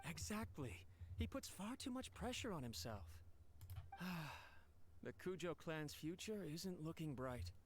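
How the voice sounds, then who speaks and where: Supportive clan retainer, lively and slightly higher, soft music under. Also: male voice, measured pace, slight background noise. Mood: concerned. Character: loyal male retainer of a clan. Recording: game audio with faint music.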